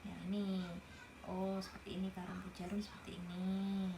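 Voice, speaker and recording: A woman reads a children's picture book aloud, breaking off now and then to chat and explain things to a young listener. Her voice sits in the middle of the range. The room is roomy and echoey, with clear background noise. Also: medium pitch, flat delivery, normal speed. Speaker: storybook reader. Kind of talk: reading a picture book aloud with chatty asides.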